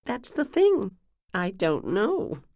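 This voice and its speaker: A woman's voice: medium pitch, wildly swooping pitch, slow pace, darkish tone, dry recording, studio quality, pitched above the performer's own voice, heavily acted. A woman doing a giggly gossipy New England act. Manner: giggly, gossipy, breathless confiding. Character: woman posing as a giggly, chatty lost sister.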